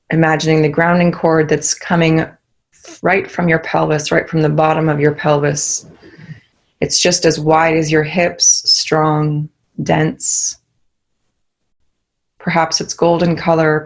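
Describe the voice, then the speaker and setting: A young woman leading a guided meditation, giving soft, soothing instructions. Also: low voice.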